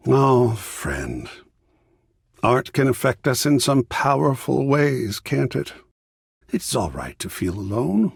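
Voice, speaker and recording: A refined, effusive art connoisseur from a video game. His delivery is florid and theatrical, with pitch that leaps and dives wildly. The voice has a gravelly creak and sounds very dry and close. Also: a little mellow, no echo, full character voice. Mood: tender, consoling. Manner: florid, theatrical, dramatic pauses and exclamations.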